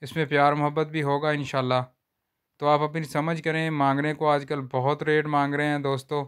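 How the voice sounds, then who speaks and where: A man walks viewers through cattle for sale on a farm, talking in plain, unhurried sales patter and filming on a handheld phone outdoors. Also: a little high, flat delivery, fairly bright tone, clear, resonant tone. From Pakistan. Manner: repeats a stock religious phrase often. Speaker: livestock seller vlogger. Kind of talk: casual sales walkthrough.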